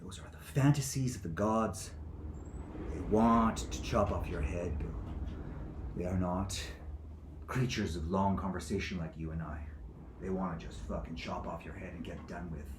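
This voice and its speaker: A man's voice: low-pitched, normal speed, lightly acted. A man playing a menacing, foul-mouthed zealot who sits as divine judge, in a theatrical monologue with a profane, taunting, domineering manner. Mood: cold menace. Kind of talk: theatrical monologue, swinging from whispers to screams. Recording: echoey room with clear background noise.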